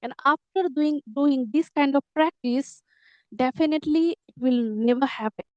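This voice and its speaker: A woman's voice: sits high, moderate pace, no echo, dull audio. A middle-aged woman, explaining earnestly as a teacher and repeating herself to make her points. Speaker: English teacher. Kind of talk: conversational English lesson dialogue.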